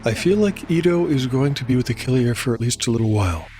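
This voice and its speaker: A man narrating a fantasy tabletop game as storyteller and game master, in a measured, scripted delivery. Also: mid-range voice, lively intonation, mellow. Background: music.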